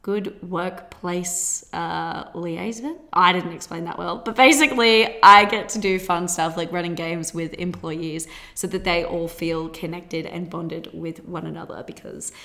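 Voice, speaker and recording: Young australian woman, bright tone, bubbly, chatty podcast host. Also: on the low side, steady tone. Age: 20s. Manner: bubbly and chatty, quick laughs. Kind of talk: solo podcast monologue.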